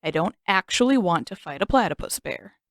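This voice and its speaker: A woman's voice: on the low side, expressive, a little fast, dry recording, studio quality, close to an everyday voice. A woman voicing a sarcastic, bossy teenage boy in a read-aloud fan story, blunt and teasing.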